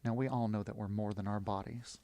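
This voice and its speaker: A man leading a guided yoga nidra meditation in short, soothing, encouraging phrases with long pauses. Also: on the low side, fairly even intonation, gravelly, mellow.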